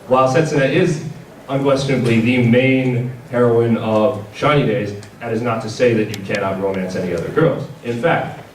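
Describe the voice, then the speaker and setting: A man giving a convention panel presentation as a game publisher's presenter, speaking in a halting, hesitant way. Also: mid-range voice. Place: large indoor convention panel room. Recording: echoey convention hall, distant mic, audience noise. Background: audience crowd.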